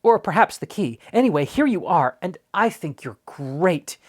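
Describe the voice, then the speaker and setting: A storybook reader voicing an eager, polite young boy who pleads and talks fast when excited. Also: medium pitch, voice pushed up. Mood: pleading. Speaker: storybook character.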